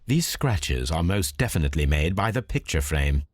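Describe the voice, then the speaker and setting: A game detective, deep voice w lots of swing, measured n brainy, thinks out loud. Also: male voice, big pitch swings, noisy background. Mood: analytical, composed. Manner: measured, deductive, precise. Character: analytical male detective, composed and deliberate. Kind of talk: video game cutscene acting, musing aloud.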